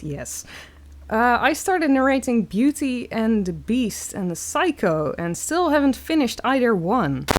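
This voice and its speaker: Slow-talking Dutch woman on a live stream. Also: on the high side, a little hiss or hum. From Netherlands. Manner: slow, halting, many fillers. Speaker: streamer reading chat. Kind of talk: casual live-stream chat.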